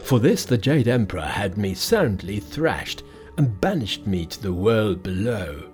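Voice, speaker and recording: A man voicing a grotesque pig-spirit monster that boasts gruffly and later pleads. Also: fairly low pitch, very animated, a little slow, darkish tone, faint music behind. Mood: confessional. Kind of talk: audiobook character voice.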